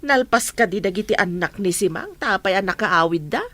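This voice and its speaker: Nagging village mother played by a woman, medium pitch. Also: expressive, moderate pace, a bit bright. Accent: Ilocano. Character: village mother nagging her student daughters. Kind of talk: Ilocano radio comedy drama acting.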